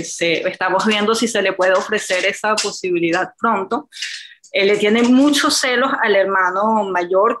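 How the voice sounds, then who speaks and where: Brief: middle-aged woman, native French speaker, hesitant, mid-pitched, many pauses and fillers. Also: a bit bright, a little hiss or hum. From France. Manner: halting, searching for words, many fillers. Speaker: group participant. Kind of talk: casual personal sharing.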